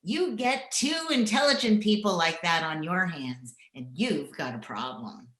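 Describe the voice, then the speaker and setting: A woman playing a wry, plainspoken, slangy member of the household in an old-time radio drama, dry and deliberate. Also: on the low side, animated, a bit bright, reverberant. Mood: dry, skeptical.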